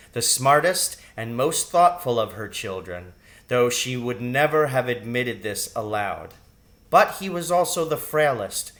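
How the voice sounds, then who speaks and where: A man reads a children's storybook aloud as an audiobook narrator. His voice sits at a medium pitch and he takes the scripted prose slowly. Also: a bit bright. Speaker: audiobook reader.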